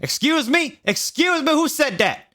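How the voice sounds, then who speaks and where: A man voicing an angry, suspicious school janitor, shrill and exclaiming, in a comic story reading. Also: very animated, a little slow, pushed and bright, full character voice. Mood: outraged.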